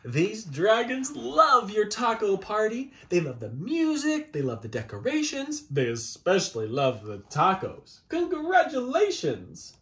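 A man in his thirties reads a picture book to children. His pitch swoops dramatically across a very wide range. The delivery is playful, exaggerated and theatrical. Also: huge pitch swings, measured pace, hardly any pauses, fairly bright tone. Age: 30s. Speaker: storybook reader. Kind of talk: animated children's picture book read-aloud. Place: small child's room indoors.

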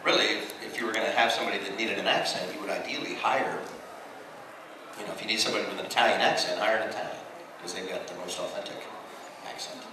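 A middle-aged American man chats casually on stage as a panel guest, acting out his stories. His voice is fairly low, heard through a handheld mic in a very echoey hall, recorded from far off with clear background noise. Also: fast. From United States. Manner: animated storyteller, acting out quoted exchanges. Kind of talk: casual anecdotes at a convention panel. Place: large convention hall, on stage. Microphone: handheld mic through PA, filmed from audience.

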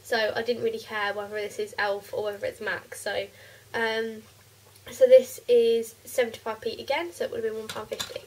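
A teenage girl with a cold and a croaky, stuffy voice, chatting casually through a makeup haul in her bedroom, with lots of ums. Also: a little high, flat delivery, normal speed, a little mellow, distant mic, rough recording. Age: teens. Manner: chatty, rambling with many ums. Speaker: beauty vlogger. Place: bedroom, indoors.

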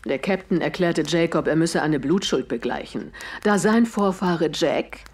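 An actress playing an earnest, composed widow in a German audio drama, telling her story deliberately with dramatic pauses. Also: low voice, slightly rough. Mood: sorrowful, earnest. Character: grieving widow of a murdered man, earnest and composed.

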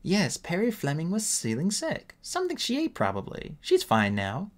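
A man's voice: high voice, wildly swooping pitch, normal speed, pitched above the performer's own voice. A man reading aloud and voicing a teenage girl hurriedly reassuring her mother on the phone.

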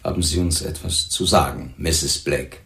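Male detective lieutenant, bottomed-out pitch, gravelly fry, dry, patient, matter-of-fact, crime radio play. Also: normal speed, fairly bright tone, heavily acted. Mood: calmly insistent. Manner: polite, insistent, matter-of-fact.

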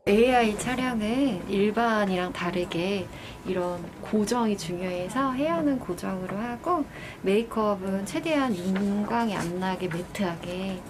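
A woman working as a hair and makeup stylist, explaining her work in a quick, matter-of-fact way. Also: medium pitch, noisy background. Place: dressing room.

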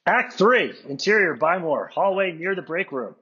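A man's voice: high voice, very animated, moderate pace, sharp tone, breathy edge, dull audio, soft background music. A man narrating scene headings and stage directions from a script.

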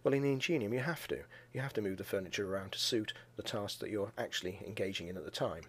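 A man with a low, slightly husky voice presents to a conference audience. He explains what is on screen in an even, measured way and stops now and then with an "uh" before going on. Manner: measured and explanatory, with frequent "uh" pauses. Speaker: school educator presenting at a conference. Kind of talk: conference presentation narrating slides and video.

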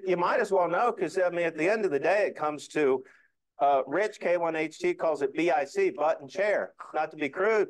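A man's voice: on the high side, no room sound, low-quality recording. Middle aged guy explaining stuff at a club meeting, casual, pretty dry sound. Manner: conversational, explanatory. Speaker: club presenter. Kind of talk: informal club presentation. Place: indoor meeting room.

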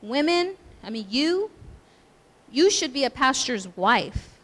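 High-voiced woman pastor in a reverberant hall. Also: takes it slowly. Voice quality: high, girlish voice. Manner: chatty, animated storytelling with asides. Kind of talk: women's breakfast talk.

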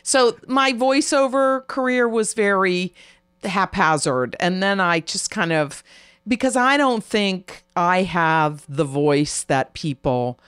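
A woman's voice: slow pace, clean tone, no echo, studio quality. A middle-aged woman, a guest actress, chatting wryly and expressively in an interview. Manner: expressive, wry, swings between high and low tones. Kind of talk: casual interview chat.

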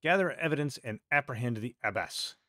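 A man's voice: medium pitch, lively intonation, fairly quick, bright, forceful tone. A man hosting a let's play, chatting casually and thinking aloud as he plays, with wry asides. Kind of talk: casual gameplay commentary.

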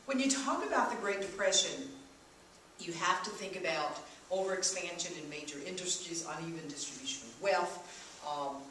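A woman gives an informal history lecture with slides. Her voice has a medium pitch. It reaches the mic from some distance, heavily echoing around the room, with faint noise underneath. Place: meeting room at a podium.

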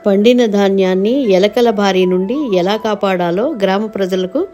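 A woman's voice: medium pitch, moderate pace, dark tone, soft background music. A woman narrating a scripted moral story for children.

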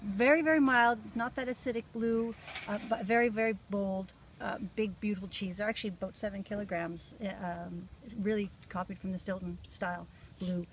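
A woman's voice: mid-range voice, steady tone, a little fast. Cheesemaker woman on a noisy patio. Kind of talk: casual interview. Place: outdoor winery patio.

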